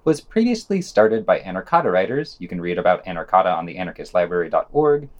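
A man's voice: low-pitched, big pitch swings, quick pace, lo-fi sound. A man reading a scripted news segment for a podcast.

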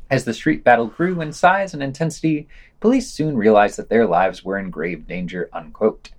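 A man reading a scripted podcast news digest and quotes, with wry, sardonic commentary. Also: mid-range voice.

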